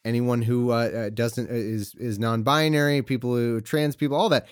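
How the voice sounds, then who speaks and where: A man's voice: mid-range voice, steady tone, normal speed, smooth, no room sound, studio quality. A man hosting a podcast conversation who backs up his guest with frequent replies and talks in a rambling way. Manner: supportive, gives frequent backchannel replies, rambling.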